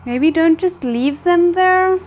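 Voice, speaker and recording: A woman's voice: extremely high pitch, slow pace. A woman doing casual reaction commentary, thinking aloud in tentative asides as she watches. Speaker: reaction video host.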